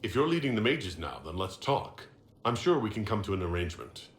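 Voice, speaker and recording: A man's voice: on the low side, very animated, fairly quick. An actor playing a firm, pragmatic hero in a video game, direct and assertive as he negotiates. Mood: businesslike, firm. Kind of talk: dramatic video game acting. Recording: roomy echo, faint noise.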